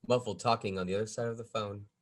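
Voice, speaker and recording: A man's voice: fairly low pitch, fairly even intonation, fast. A man reading stage directions as the narrator at a script table read.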